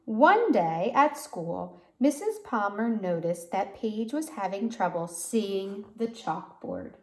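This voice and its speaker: A woman reading a children's storybook aloud like a teacher, pausing to explain words and put questions to listeners. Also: medium pitch, animated. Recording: roomy, echoey sound.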